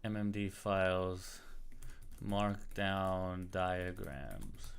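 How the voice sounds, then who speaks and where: A man's voice: deep voice, drawn-out words, vocal fry, a little hiss or hum. An Australian man with an American-sounding accent, casually talking to himself and greeting viewers while he searches on his computer during a livestream. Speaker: livestream host. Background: keyboard typing and clicking.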